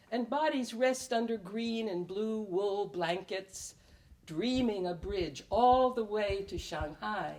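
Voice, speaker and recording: Slow-paced woman reading her writing aloud. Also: mid-range voice, a little mellow. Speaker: writer and performer in an ensemble. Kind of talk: live poetry reading with spoken introduction. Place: public library event before an audience.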